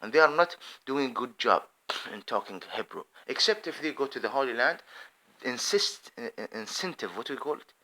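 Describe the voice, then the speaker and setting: A man's voice: mid-range voice, lively intonation, normal speed, bright, forceful tone, rough recording. A man giving an impassioned, rambling unscripted monologue to camera. He often restarts his phrases. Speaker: video commentator.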